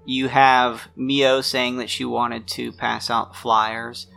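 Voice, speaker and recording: A man's voice: medium pitch, flat delivery, takes it slowly, creaky, sharp tone. A man narrating an improvised tabletop roleplaying game as game master. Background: music.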